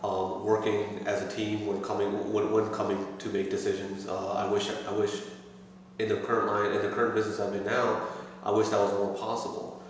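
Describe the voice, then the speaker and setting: A man in his thirties from Pakistan, answering interview questions with many pauses and restarts. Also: on the low side, level tone, far from the mic. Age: 30s. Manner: hesitant, many fillers and restarts. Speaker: interviewee, assistant store manager. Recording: echoey room, voice from afar, faint noise.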